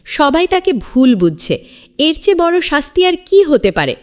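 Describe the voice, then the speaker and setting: High-pitched, animated woman storyteller. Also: moderate pace. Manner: theatrical, suspenseful. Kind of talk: dramatic scripted children's story narration.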